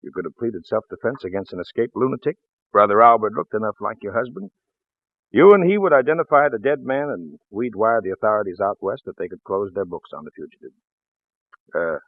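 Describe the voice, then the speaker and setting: Low-voiced folksy small-town lawman. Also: expressive, dry recording. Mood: shrewd, regretful. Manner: folksy, hesitant, drawn-out apologies. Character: folksy small-town police lieutenant, apologetic but shrewd. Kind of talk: 1940s radio drama acting. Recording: muffled vintage radio recording, phone-like band.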